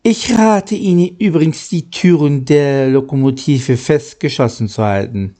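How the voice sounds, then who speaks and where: A man reading the part of a polite, earnest guide who explains gravely and formally, halting at times, in a storybook. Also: medium pitch, dark tone, lightly acted. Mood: earnest warning.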